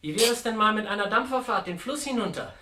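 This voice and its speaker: An actor playing an outwardly solicitous, privately calculating nephew in a German radio drama, with a polite, fussy manner. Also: male voice, high voice, expressive, fast, sharp tone, slight background noise. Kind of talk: German radio crime-comedy acting.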